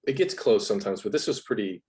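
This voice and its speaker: A man's voice: lowish voice, lively intonation, fast, darkish tone. A man speaking as an interview guest in a casual, analytical chat, reflective and informal, with plenty of "like" and "you know.".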